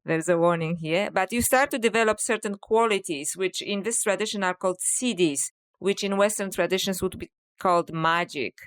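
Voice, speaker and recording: A woman with a Polish accent speaks in a smooth, resonant voice of middle pitch. She explains things at a measured, reflective pace as a guest in a conversation, often slipping in "you know.". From Poland. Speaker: author and scholar, podcast guest. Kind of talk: interview conversation.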